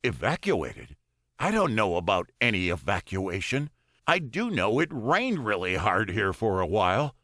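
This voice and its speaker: A man's voice: on the low side, wildly swooping pitch, unhurried, sharp tone, no echo, studio quality, full character voice. A man voicing a stranded, bewildered father in an audiobook, emphatic and plaintive. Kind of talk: audiobook character dialogue.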